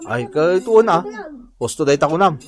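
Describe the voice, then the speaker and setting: Man acting a very animated friendly Filipino pal. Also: fairly high pitch, moderate pace, natural voice. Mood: friendly banter. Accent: Ilocano Filipino. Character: friendly, admiring male pal of the young graduate. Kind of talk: Ilocano radio comedy drama acting. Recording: music playing under the voice.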